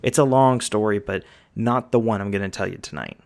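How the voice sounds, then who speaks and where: A man's voice: lowish voice, a little fast, vocal fry, darkish tone. A man playing an easygoing, folksy magi guide, explaining patiently in an ASMR roleplay. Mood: relaxed, friendly. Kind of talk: ASMR roleplay, conversational storytelling.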